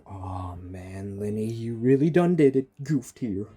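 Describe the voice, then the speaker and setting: A streamer with a husky, mid-range voice reacts casually to a game. The delivery is teasing and exasperated, with faint game music behind it. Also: mid-range voice, expressive, a little slow, dark tone. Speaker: gamer reacting to story quest.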